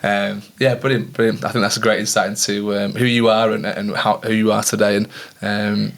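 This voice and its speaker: A man's voice: lowish voice, little pitch movement, a little fast, vocal fry, a bit bright. A man hosting a podcast, asking questions and encouraging his guest in casual chat. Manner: encouraging, affirming interviewer. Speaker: podcast host.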